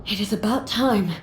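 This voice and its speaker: A woman's voice: mid-range voice, noisy background. A woman playing a proud, solemn thunder deity and ruler in a video game, speaking in a grave, formal, declamatory way. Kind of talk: dramatic English video game voice acting. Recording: echoing game audio over music. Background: game music.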